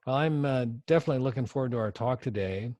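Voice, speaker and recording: A man's voice: medium pitch, fast, a little mellow, no room sound, muffled recording. A man hosting a podcast, introducing his guest and asking questions in a friendly, chatty way with many hesitant fillers. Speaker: podcast host. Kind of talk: podcast interview.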